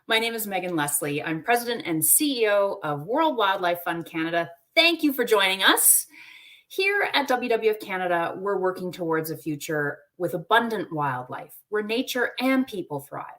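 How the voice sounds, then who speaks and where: A woman's voice: medium pitch, sing-song, moderate pace, a bit bright. Woman, webinar host, upbeat, chatty, friendly, video call mic. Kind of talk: webinar hosting.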